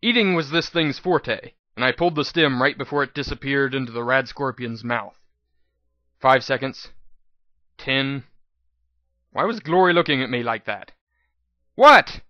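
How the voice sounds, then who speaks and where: A man's voice: medium pitch, normal speed, long pauses, pushed and bright, dry recording, highs cut off. A man giving a dramatic first-person scripted narration as the reader of a fan fiction audiobook.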